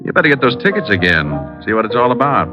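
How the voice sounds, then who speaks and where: A man's voice: mid-range voice, very animated, fast, over music, close to an everyday voice. A man playing a wisecracking private detective in a 1940s radio drama, coolly questioning suspects with a dry, easy manner. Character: wisecracking male private eye, adult, cool and cocky. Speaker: private detective Richard Diamond. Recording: dull lo-fi old radio recording.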